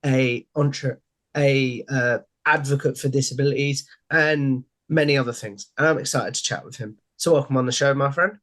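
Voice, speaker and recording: Friendly young podcast host on a headset. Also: male voice, medium pitch, moderate pace, fairly bright tone. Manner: friendly, rambling questions with repeated stock phrases. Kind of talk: casual interview questions. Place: home room. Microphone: headset on a video call.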